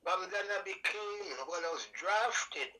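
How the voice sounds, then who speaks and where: A man's voice: high voice, measured pace, sharp tone. An older man of about ninety from Tobago with a Trinidad and Tobago accent, telling his life story in a rambling, devout, folksy way. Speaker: guest, veteran and calypso singer. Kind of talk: casual interview storytelling.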